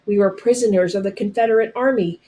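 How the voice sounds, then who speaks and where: Expressive woman reading a storybook aloud. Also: medium pitch, fast talker.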